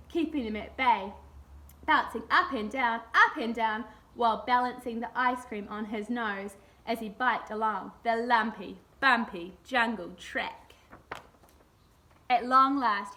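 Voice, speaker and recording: A woman's voice: on the high side, sharp tone. A woman reading a children's picture book aloud expressively.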